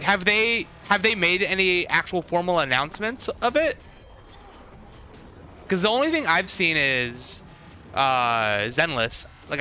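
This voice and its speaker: A man's voice: high-pitched, pushed and bright, a little hiss or hum. A man live streaming casual reactions and commentary, rambling as he thinks aloud, with music behind him. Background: game showcase trailer audio and music.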